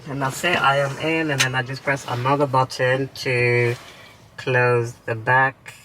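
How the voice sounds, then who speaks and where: A man from Kenya co-hosting a relaxed chat, answering viewer questions with lots of fillers. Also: medium pitch, a little slow, echoey room, background noise. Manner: relaxed, conversational, with many fillers. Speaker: co-host.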